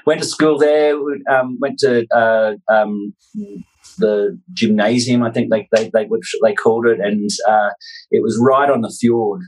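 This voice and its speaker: An Australian man in his forties telling casual personal anecdotes as an interview guest, in a halting, self-deprecating way. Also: fairly low pitch, a little mellow, low-quality recording. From North Queensland, Australia. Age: 40s.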